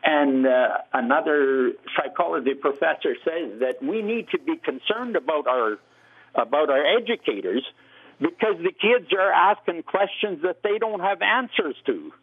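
A man's voice: fairly high pitch, lively intonation, unhurried, husky. Rambling male caller on a tinny phone line. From Canada. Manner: rambling, hesitant, many fillers. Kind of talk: phone-in question to a radio show.

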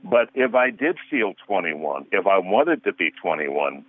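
Man, sixties, deep voice, earnest radio guest, dry tinny phone-line sound. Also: very animated, a little slow, dry recording. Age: 60s. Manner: earnest, argues through rhetorical questions. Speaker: guest counselor. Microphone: telephone.

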